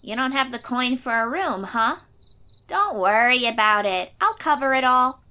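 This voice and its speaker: A woman's voice: fairly high pitch, expressive, normal speed, sharp tone. A woman voicing a confident, chatty thirteen-year-old sea-dweller girl warrior in a fantasy audio roleplay, perky and informal. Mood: friendly, confident.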